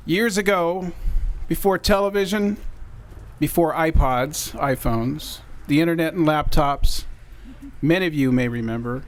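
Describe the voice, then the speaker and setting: Man, welcome speech, informal, friendly, some fillers, slightly high voice. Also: a little slow. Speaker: event organizer. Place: museum event hall.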